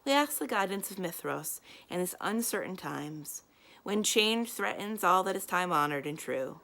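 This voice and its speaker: A solemn, tradition-bound lord, acted by a woman reader. He declaims formally in a low, slightly creaky voice, in the manner of a storybook read-aloud. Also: lively intonation, moderate pace, sharp tone, full character voice. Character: solemn, old-fashioned lord leading a prayer.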